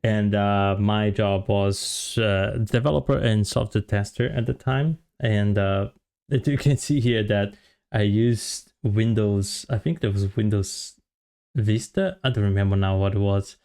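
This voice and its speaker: A Brazilian man in his thirties whose low voice has a gravelly edge. He talks to his camera in a loose, rambling way, with plenty of "uh" and "you know" as he finds his words in his second language. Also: unhurried, a little mellow. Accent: Brazilian Portuguese. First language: Portuguese. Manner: rambling with many fillers and restarts. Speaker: vlogger, software developer. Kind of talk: casual storytelling to camera.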